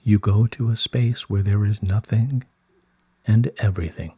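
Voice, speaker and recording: A man reads spiritual guidance in a low, very mellow voice with a soft, breathy grain. He takes his time, speaking very slowly and solemnly in measured, soothing phrases. The sound is dry and close. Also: fairly even intonation, no room sound. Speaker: reader of channelled spiritual messages. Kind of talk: slow scripted reading of spiritual guidance.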